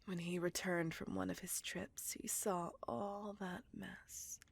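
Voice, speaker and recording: A woman playing a seductive, possessive, coldly vengeful vampire in a slow, intimate, breathy whispered ASMR roleplay. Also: lowish voice, flat delivery, full character voice. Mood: cold, gloating. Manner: slow, intimate, deliberate, savouring words.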